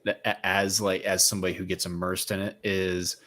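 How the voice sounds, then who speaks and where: A man's voice: sits low, little pitch movement, brisk, a bit bright. A man co-hosting a book discussion in a casual, colloquial way, with hesitant phrasing and exclamations. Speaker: co-host of a read-along discussion.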